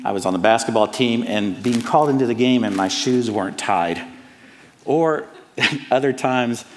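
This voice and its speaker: A middle-aged man from Cuba, raised in the American South, with a Southern accent, preaching an earnest and personal sermon, often pausing on "uh". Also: medium pitch, big-room sound. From Cuba, raised in Atlanta. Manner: earnest, personal, with frequent "uh" fillers. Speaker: pastor. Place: church sanctuary.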